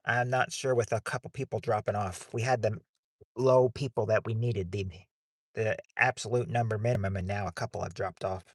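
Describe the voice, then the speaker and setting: A man's voice: on the low side, fairly even intonation, moderate pace, slightly rough, no room sound, clean studio sound. A man hosting a livestreamed game night, chatting loosely and often restarting his sentences. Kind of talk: casual chat while hosting a game.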